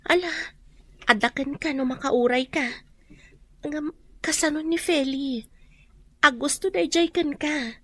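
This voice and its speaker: High, bright young woman. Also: a little slow, pauses often, no echo. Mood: questioning, firm. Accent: Ilocano. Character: young woman, a student, expressive. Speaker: radio drama actor. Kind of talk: Ilocano radio drama acting.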